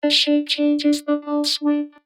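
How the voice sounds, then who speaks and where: A buzzing robotic vocoder voice. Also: female voice, sits high, monotone, loud music behind the voice, pitched above the performer's own voice. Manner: slow demonstration phrases. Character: synthetic robotic vocoder voice, woman, flat and mechanical. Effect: vocoder robot filter.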